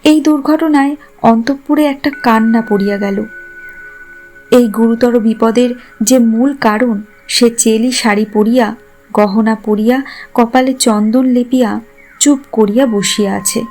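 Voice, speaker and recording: Higher-voiced woman narrating a story over music. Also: darkish tone. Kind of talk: scripted literary narration in formal Bengali prose.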